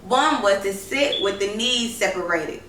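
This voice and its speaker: Bright-voiced woman reading a story aloud. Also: mid-range voice, animated, measured pace, recorded from a distance. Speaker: story reader. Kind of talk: storybook narration, read aloud. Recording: roomy echo, noisy, off-mic home recording.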